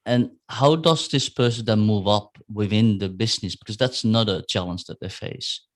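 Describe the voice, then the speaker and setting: A man with a fairly low voice puts a question to his guest. He hesitates often, filling gaps as he pieces the question together. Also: moderate pace. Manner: hesitant, many fillers and restarts. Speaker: interview host. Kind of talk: conversational interview questions.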